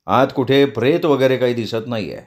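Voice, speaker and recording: A man voicing a composed detective who speaks low and measured. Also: darkish tone, lightly acted. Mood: calm. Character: calm male detective, steady and firm.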